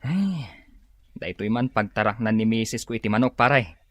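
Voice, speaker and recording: A cheerful, jobless Ilocano husband in a radio comedy. His mid-pitched voice bounces up and down as he chats, easygoing and eager, in a close dry recording. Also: expressive, moderate pace, a bit bright, dry recording. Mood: eager, friendly.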